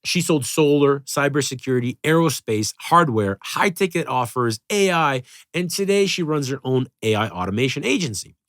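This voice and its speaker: A man hosting a podcast in a studio, reading an intro and then chatting casually, energetic and full of "you know"s. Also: mid-range voice, normal speed, hardly any pauses, no room sound. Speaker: podcast host.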